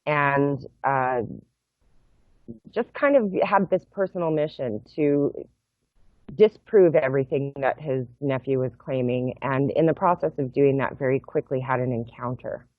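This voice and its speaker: A middle-aged woman, a guest on a video call from home, explaining her project in a measured, thoughtful way with frequent pauses. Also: a bass voice, dry recording. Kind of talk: explaining in an interview. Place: home room. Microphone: laptop webcam mic on video call. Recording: tinny phone-like video call audio, dry.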